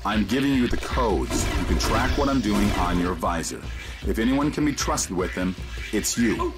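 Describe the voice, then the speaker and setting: Man acting a game mission guide, grave, urgent, instructive, deliberate delivery, prominent musical score behind. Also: lowish voice, animated, loud music behind the voice, close to an everyday voice. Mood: focused, instructive. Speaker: mission guide over radio.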